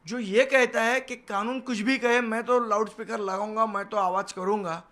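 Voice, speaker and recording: Assertive high-pitched man. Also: fairly even intonation, moderate pace, sharp tone. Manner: assertive, defiant, emphatic repetition. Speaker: political party leader and spokesman. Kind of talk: television news interview answers. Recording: noisy location sound, background ambience.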